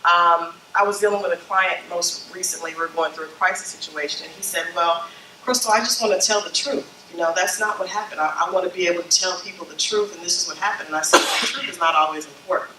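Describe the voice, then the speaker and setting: Woman, slightly scratchy voice, bright tone, workshop speaker, conversational, roomy echo. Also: lowish voice, fast, far from the mic. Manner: conversational, checks in with "okay?" and "you know?". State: scratchy from a summer flu. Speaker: branding consultant speaking to church leaders. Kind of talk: workshop talk. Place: meeting room with a small group.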